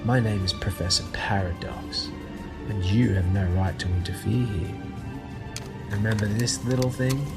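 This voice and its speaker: An actor playing an earnest time-travelling professor in an audio drama, with a theatrical, emphatic delivery. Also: male voice, fairly low pitch, moderate pace, close to an everyday voice. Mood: defiant. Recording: music behind the voice.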